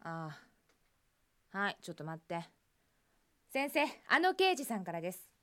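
A woman's voice: medium pitch, big pitch swings, measured pace, sharp tone, smooth. A woman playing a perky, playfully pouty young clinic receptionist with a girlish manner in a Japanese radio drama. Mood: dutiful.

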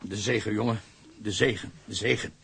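An actor playing a kindly older father with a gravelly, husky voice, in a drama. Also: on the low side, steady tone, normal speed, a bit bright, full character voice. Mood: solemn, tender. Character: kindly older father blessing the young couple. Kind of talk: broadcast drama acting.

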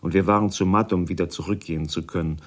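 A man's voice: low-pitched, fairly even intonation, quick pace, dry recording. A man reading literary prose for a radio feature. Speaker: literary reader.

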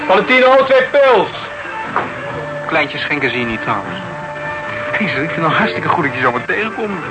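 A man's voice: fairly high pitch, very animated, moderate pace, loud music behind the voice, poor audio. An actor playing a cheeky, teasing café companion in a Dutch radio drama, breezy and colloquial as he needles.

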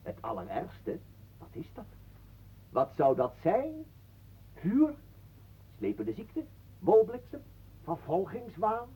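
Florid, swooping, mock-courteous wanderer. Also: male voice, sits high, huge pitch swings, slow pace, pauses often, dark tone, breathy edge, exaggerated voice. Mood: florid, teasing. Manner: florid, theatrical, mock-polite. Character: talkative, mock-courteous male wanderer, tipsy and absurdly philosophical. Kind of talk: absurdist radio play acting. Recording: muffled, narrow old radio recording.

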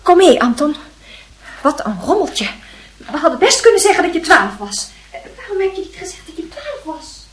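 A woman's voice: very high-pitched, very animated, normal speed. A woman playing a flustered, grumbling aunt in a radio play. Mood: annoyed.

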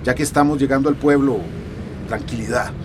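A man's voice: mid-range voice, fairly even intonation, a little fast, slight background noise, close to an everyday voice. An actor with a Latin American accent playing a fatherly, middle-aged police chief in a radio drama, reassuring and authoritative. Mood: firm, reassuring. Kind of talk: Spanish-language radio drama acting.

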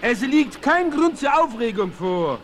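Very high-pitched pompous little showman. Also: sing-song, unhurried, full character voice. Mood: grandiose, reassuring. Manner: grandiose showman's announcing. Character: small moustached circus animal tamer, pompous, excitable showman. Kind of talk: 1960s German radio play acting. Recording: vinyl record transfer with faint noise.

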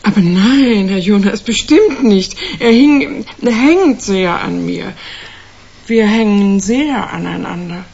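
An actress playing an anxious woman client of about sixty in a radio play, hesitant and earnest with a husky voice. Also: medium pitch, slow pace, darkish tone, dull audio, full character voice. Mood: worried, earnest. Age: older. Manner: hesitant, earnest, self-correcting.

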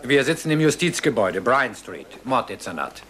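A brisk police lieutenant. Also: male voice, mid-range voice, sing-song, a bit bright, slight background noise. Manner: brisk, authoritative. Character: gruff, businesslike male police lieutenant. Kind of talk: TV dubbing.

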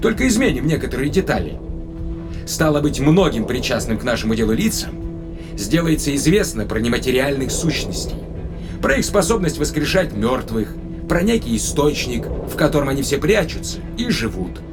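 A man's voice: medium pitch, very animated, normal speed. A man playing a skeptical, wary space traveler in an audio drama, dryly sardonic and questioning, with music behind him. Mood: skeptical, insistent.

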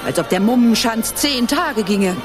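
A woman's voice: a little high, lively intonation, measured pace. An actress playing a worried, imperious middle-aged noblewoman in a radio play, formal and commanding. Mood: uneasy. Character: worried, imperious noblewoman, mother of young emperor. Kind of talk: German radio play acting. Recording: music and crowd behind.

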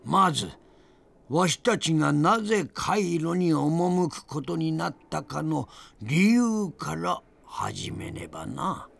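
An actor playing a spirited old grandfather in an audio drama, narrating his memories with theatrical, deliberate pauses and a gravelly voice. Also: a little high, expressive, full character voice. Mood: musing. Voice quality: gravelly, creaky. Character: spirited old grandfather reminiscing fondly about past adventures.

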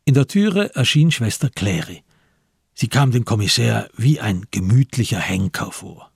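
A man narrating a literary crime story for a radio play in a husky voice, measured and grave. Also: on the low side, animated, no room sound, clean studio sound, exaggerated voice. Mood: tense, measured. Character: sober male storyteller of a crime tale.

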